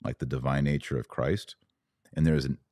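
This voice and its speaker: A man with an extremely low voice hosts a religious discussion. The sound is dry, with no room around it. He is conversational and agreeable, working through his explanations reflectively. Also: fairly even intonation, moderate pace, mellow, no room sound. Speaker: podcast host.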